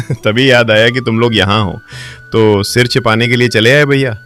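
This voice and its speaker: A man's voice: medium pitch, fairly even intonation, normal speed, a bit bright, soft background music, natural voice. A man voicing an older merchant relative who asks for shelter in an apologetic, ingratiating way, for a cartoon story.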